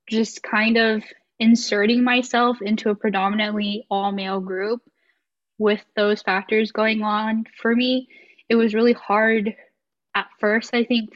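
A young woman from the United States, speaking thoughtfully and hesitantly as a guest in a casual podcast conversation. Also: mid-range voice. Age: 20s. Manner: thoughtful, hesitant, many fillers. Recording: slightly muffled lo-fi audio.

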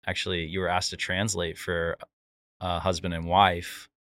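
A man's voice: low voice, flat delivery, moderate pace, fairly bright tone, no echo. A man hosting a casual church interview as missions director, asking rambling questions and chiming in with encouraging words.

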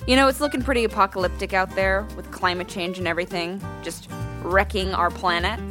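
An actress playing a chatty, optimistic local resident in a casual street interview in an audio drama. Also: female voice, medium pitch, fairly even intonation, brisk, music in the background, natural voice. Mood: upbeat, musing.

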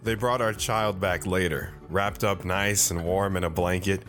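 A man's voice: sits low, fairly even intonation, a little slow, a bit bright, faint music behind. A man playing a devoted, reflective wandering father who tells his own story in an audio drama, earnest and sentimental. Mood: reflective, tender. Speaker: narrator.

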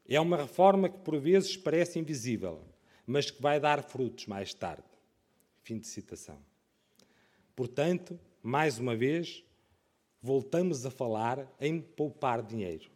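A man delivers the close of a prepared speech at a parliamentary session. He speaks earnestly, at an ordinary middle pitch. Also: lively intonation, moderate pace, darkish tone. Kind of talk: formal speech.

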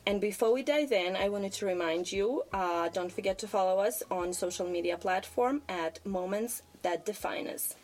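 A woman's voice: mid-range voice, normal speed, hardly any pauses, darkish tone. A woman from Ukraine, co-hosting a podcast in casual chat, speaking earnestly and repeating phrases for emphasis. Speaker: podcast co-host.

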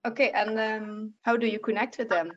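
Woman host chatting easy, bit higher voice, kinda muffled lo-fi sound. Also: fairly even intonation, moderate pace. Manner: friendly, hesitant with fillers. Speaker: podcast host. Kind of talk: casual interview chat.

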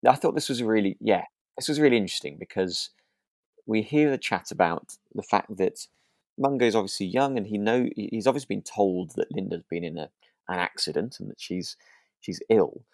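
A man's voice: fairly low pitch, normal speed, dry recording, clean studio sound. A man co-hosting a podcast, discussing things casually and searching tentatively for his words. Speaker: podcast co-host.

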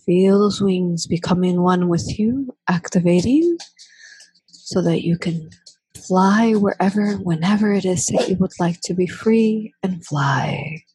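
Breathy woman guiding a slow meditation. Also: lowish voice, poor audio. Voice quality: breathy, hushed. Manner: drawn-out, gently repeating phrases with long pauses. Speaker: guided meditation leader. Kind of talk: guided meditation, slow and soothing.

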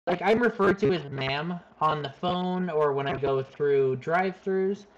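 A man with a higher-pitched voice who streams, talking hesitantly in a casual chat with many fillers. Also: measured pace, lo-fi sound, slight background noise.

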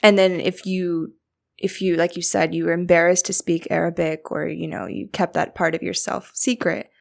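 A woman's voice: on the low side, level tone, moderate pace, vocal fry. A young woman hosting a podcast, supportive and thoughtful as she asks her guest questions. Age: young adult. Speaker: podcast host. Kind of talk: casual interview chat.

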